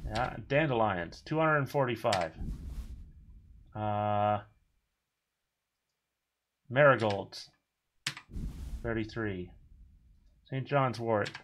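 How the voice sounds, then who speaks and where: A man giving casual, rambling commentary while playing a video game, with a booming voice. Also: slow, long pauses, a little hiss or hum. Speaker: streamer.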